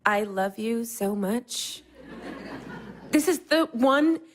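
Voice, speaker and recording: An actress playing Suzanna, a sharp-tongued young wife who swears and snaps sarcastically in an audio drama. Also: female voice, a little high, lively intonation, slow, slight background noise. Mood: sharp, sarcastic.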